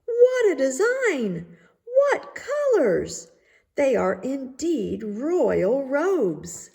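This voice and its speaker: A woman's voice: high-pitched, wildly swooping pitch, very slow, creaky, darkish tone, pitched above the performer's own voice, exaggerated voice. A woman voicing fawning storybook courtiers and townsfolk with exaggerated, swooning admiration. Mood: awed delight. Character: gushing crowd of fawning royal courtiers and townsfolk. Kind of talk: storybook character voice.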